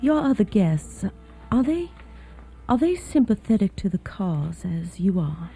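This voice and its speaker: An actress playing a weary, reserved young Southern wife, speaking politely and hesitantly in a period radio drama. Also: female voice, medium pitch, big pitch swings, unhurried, warm tone, husky, soft background music, exaggerated voice.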